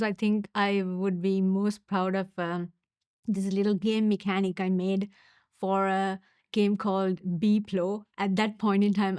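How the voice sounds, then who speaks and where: A young woman from Sri Lanka, a university student giving a casual testimonial, thoughtful and unhurried with small pauses and fillers. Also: medium pitch, a little mellow, clean tone, no echo, studio quality.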